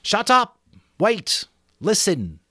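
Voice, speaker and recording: An earnest young boy leader acted by a man addresses his group in an audiobook story. His pitch is raised and swings freely as he stresses his points with emphatic conviction. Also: wildly swooping pitch, very slow, pushed and bright, no echo, clean studio sound, full character voice. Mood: shouting for attention. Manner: emphatic, speech-making to a group. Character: earnest young schoolboy leader rallying the others. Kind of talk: dramatic audiobook character reading.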